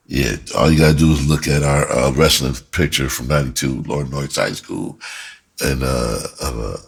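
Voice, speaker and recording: Very deep, husky middle-aged American man. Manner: rambling, candid, slangy. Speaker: storyteller, writer and music producer. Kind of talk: casual, explicit personal storytelling.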